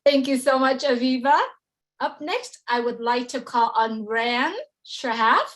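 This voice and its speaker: A woman's voice: sits high, fairly even intonation, unhurried. A woman hosting a webinar, introducing speakers in an upbeat way. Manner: upbeat, bright announcing. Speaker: webinar host.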